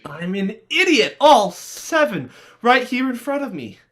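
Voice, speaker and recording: A woman's voice: fairly high pitch, sing-song, measured pace. A woman playing an exasperated, troubled teenage boy in a stage play reading, sarcastic and weary.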